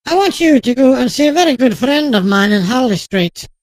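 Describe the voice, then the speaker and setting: A woman acting a stern, sharp-tongued doctor in a radio drama, with a commanding, no-nonsense manner. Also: high voice, expressive, a little fast, no echo. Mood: businesslike.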